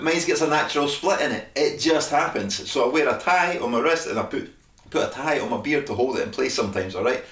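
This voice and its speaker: A man's voice: mid-range voice, fairly bright tone, roomy sound, recorded from a distance. A Scottish man casually demonstrating beard care products, checking in often with "all right?". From Scotland. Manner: chatty, frequent "all right?" tags. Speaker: beard care brand host.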